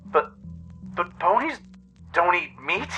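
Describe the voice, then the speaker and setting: A man's voice: high-pitched, very animated, takes it slowly, pushed and bright, highs cut off, faint music behind, pitched above the performer's own voice, full character voice. A man voicing a young female pony adventurer, panicky and squeamish, in a radio play. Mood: dismayed.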